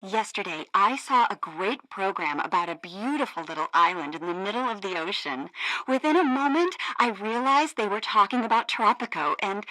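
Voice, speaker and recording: A woman's voice: mid-range voice, expressive, moderate pace, bright, forceful tone, no room sound, low-quality recording. A woman playing a chirpy, polished female news presenter on a satirical state radio show. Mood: cheerful.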